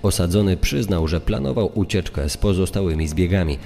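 Very deep, dark male narrator with reverb. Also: lively intonation, fairly quick, vocal fry. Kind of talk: scripted documentary narration. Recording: long reverb on the voice.

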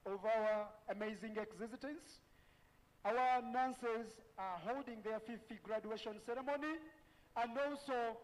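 A middle-aged man with a Ugandan accent, deliberately and emphatically announcing as master of ceremonies at a formal graduation. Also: high voice. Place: large event tent. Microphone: handheld microphone. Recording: reverberant PA sound in large tent.

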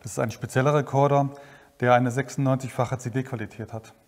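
A man's voice: mid-range voice, fairly even intonation, a little fast, in a large hall. A man working as a sound engineer, explaining recording technology in a methodical way.

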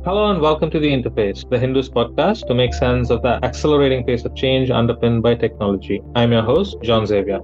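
Man, podcast host, mid-pitched. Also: brisk, hardly any pauses, muffled recording, over music. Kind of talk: scripted podcast introduction.

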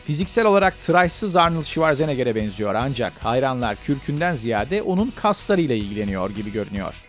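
A man's voice: mid-range voice, very animated, brisk. Male scripted countdown narrator, faint music behind.

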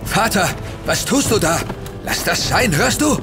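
An actor playing a young son and hero who talks urgently and bluntly, with dry sarcasm, in a German radio drama. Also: male voice, high voice, lively intonation, measured pace, over music. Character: determined young hero, stubborn and quick-witted.

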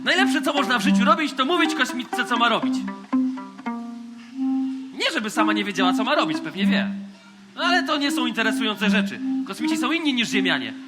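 A man's voice: very high voice, very animated, fairly quick. An actor playing a bossy, macho boy captain in a radio play, pompous and scornful. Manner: pompous, self-assured. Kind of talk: theatrical radio play acting. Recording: loud music behind the voice.

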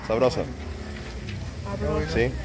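A man's voice: very slow, slight background noise. A man with a Peruvian Spanish accent hosting a casual food vlog, playful and colloquial as he talks straight to viewers. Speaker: food vlogger and host. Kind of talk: casual cooking vlog chat.

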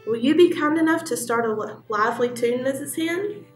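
A woman's voice: high voice, expressive, brisk, roomy sound, far from the mic, soft background music. A woman voicing a jolly, good-natured old male duck in a bedtime story, hearty and polite. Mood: cheerful.

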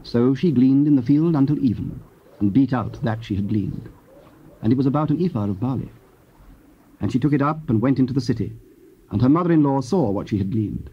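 A man's voice: mid-range voice, lively intonation, fairly quick, breathy edge. A man giving solemn, scripted narration of scripture. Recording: dull, lo-fi old cassette.

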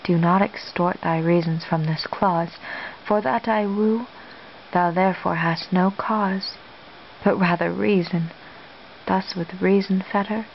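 Lovestruck Shakespearean noblewoman voiced by a woman, soft and tender, lowish pitch, muffled home recording. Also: fairly even intonation, a little slow, vocal fry. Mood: ardent. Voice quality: soft, hushed. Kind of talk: soft-spoken Shakespearean monologue. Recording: dull lo-fi home recording, faint hiss.

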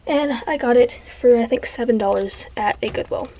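A young woman, congested from allergies, chatting casually and softly as she shows off her collection, sometimes dropping to a whisper. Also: fairly high pitch, normal speed. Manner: chatty, rambling, soft, drops into whispers. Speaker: vlogger. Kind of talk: casual show-and-tell vlog. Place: bedroom. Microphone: handheld camera.

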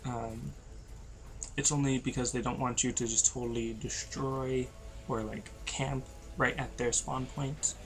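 A tired young man, losing his voice, giving low-energy, rambling commentary over a video game. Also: fairly even intonation, measured pace, faint music behind. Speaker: gameplay commentator. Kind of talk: casual let's-play commentary.

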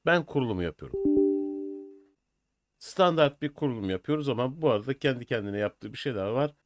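Older guy doing a casual how-to, mid pitched voice, dry recording. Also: animated, a little fast, no echo, faint music behind. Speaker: software tutorial presenter. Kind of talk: informal step-by-step tutorial narration. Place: small indoor room at a desk. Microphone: USB computer microphone.

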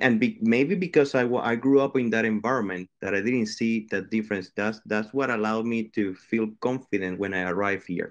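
A man's voice: fairly low pitch, lo-fi sound. A middle-aged man from Venezuela, an engineering professor answering a panel question over a video call, earnest and often restarting his phrases.

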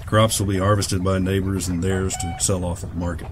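A weary old pilot turned farmer acted by a man in a radio drama, with a low voice and plain, folksy talk. He is proud, loyal and bitter, brooding over his words. There is steady noise behind him. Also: a little fast, lightly acted. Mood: gruff, resigned. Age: older. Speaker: voice actor. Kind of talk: audio drama acting.

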